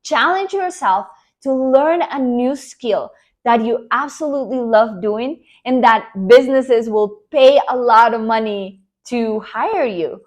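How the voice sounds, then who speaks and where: A woman's voice: a little high, measured pace. A young woman from Colombia with a Colombian Spanish accent, Spanish her first language, coaching viewers on online careers in an earnest, encouraging talk to camera. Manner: earnest, encouraging, emphatic with repeated promises.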